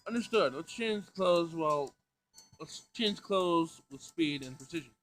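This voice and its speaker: A man's voice: fairly high pitch, lively intonation, unhurried. A man voicing a proud scientist who speaks in a formal, wordy and precise manner. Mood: resolute. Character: proud, formal scientist competitor in a visual novel. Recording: music behind the voice.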